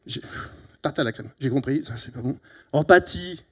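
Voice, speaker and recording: A middle-aged man from Italy with an Italian accent, giving a lively, teasing closing talk at a conference on a handheld mic, with sudden loud emphatic bursts. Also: medium pitch, moderate pace. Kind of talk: lively, joking conference speech. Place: conference hall. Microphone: handheld microphone.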